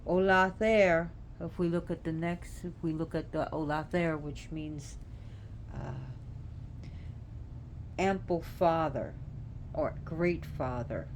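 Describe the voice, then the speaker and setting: A woman giving an informal, unscripted explanation, halting as she searches for words. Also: sits low. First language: not Gaelic. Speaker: presenter explaining names of a Celtic deity. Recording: clear background noise.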